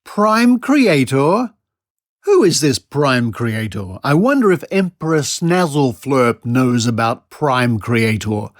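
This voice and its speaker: Sarcastic Australian man, lively pitch. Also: dry recording. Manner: mock-incredulous, dry sarcasm. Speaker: podcast host. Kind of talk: sarcastic commentary.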